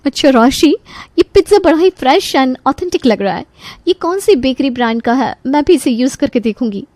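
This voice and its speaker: ऊँची आवाज़ वाली चहकती, बातूनी अभिनेत्री की निभाई महिला मेहमान. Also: female voice, high voice, lively intonation, normal speed, darkish tone, no echo. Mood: curious, impressed. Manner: gushing, mixes in English words. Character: boss's wife, chatty, delighted, insistent dinner guest. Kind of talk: Hindi animated story dialogue.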